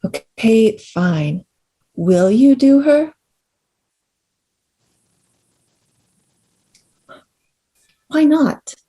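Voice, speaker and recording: Woman acting mellow-voiced, entitled rich woman. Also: fairly high pitch, big pitch swings, slow, slightly rough, full character voice. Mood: demanding. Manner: blunt, matter-of-fact. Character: entitled, demanding, cool rich woman hiring an escort. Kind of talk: screenplay table read.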